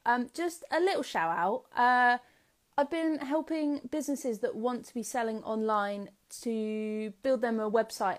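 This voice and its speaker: A woman with a slightly high voice hosts a casual live stream from her phone. She rambles along chattily, filling gaps with ums as she talks to her viewers. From England. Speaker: host of an Instagram Live interview. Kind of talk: casual livestream chat. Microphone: phone or laptop on a live stream.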